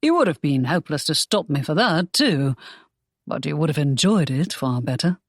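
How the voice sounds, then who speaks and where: A woman voicing a protective young male romance hero in an audiobook, speaking calmly and firmly in a lowered voice. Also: no echo, clean studio sound. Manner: calm, firm, low and measured.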